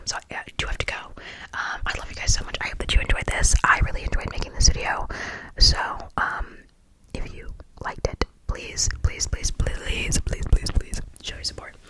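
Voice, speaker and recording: A video creator speaking in a breathy whisper, casually reacting to stories in a gushing, slangy way with emphatic repetition and rambling asides. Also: brisk, sharp tone. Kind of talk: whispered ASMR storytelling and reaction, casual chat.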